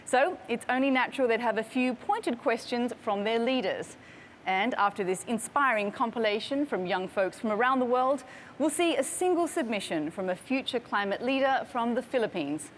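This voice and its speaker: Upbeat woman host, slightly high voice. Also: expressive, normal speed, a little hiss or hum. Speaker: host of a broadcast. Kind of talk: scripted presenting.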